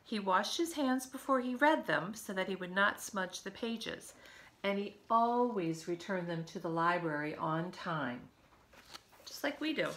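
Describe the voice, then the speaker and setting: A woman in her fifties reads a children's storybook in a mid-pitched voice with noticeable creak. Her delivery is expressive and aimed at young listeners, with conversational asides. She sounds like a teacher sharing a book from her living room. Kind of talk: storybook read-aloud with chatty asides to children. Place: living room at home, by a window.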